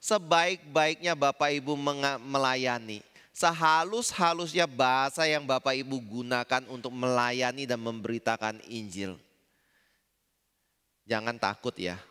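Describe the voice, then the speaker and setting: A man with a Javanese accent preaches a sermon in a conversational way, often asking the congregation questions. His voice is bright and smooth. The sound carries a long reverb from a large church hall. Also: medium pitch, measured pace. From Indonesia. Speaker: pastor.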